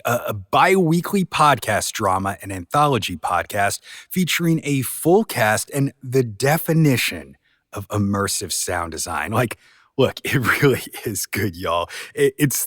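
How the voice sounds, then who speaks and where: An enthusiastic man hosts a podcast in a close, dry recording. His pitch moves around in a lively way as he talks informally, leaning hard on his points. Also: no echo. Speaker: podcast host. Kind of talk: casual podcast introduction.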